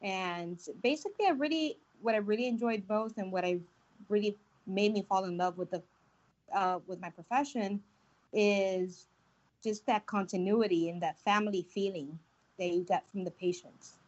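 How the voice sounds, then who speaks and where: A woman's voice: mid-range voice, normal speed, dull audio. A woman in her thirties from Miami, a doctor answering interview questions in a casual, conversational way. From Miami, United States.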